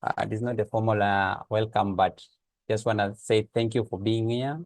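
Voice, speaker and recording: A man's voice: on the low side, little pitch movement, normal speed, dry recording. A man hosting an online meeting and greeting participants, with many fillers as he talks. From Kenya. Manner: friendly, hesitant with many uh fillers. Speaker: host of online office hours. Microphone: computer mic on a video call.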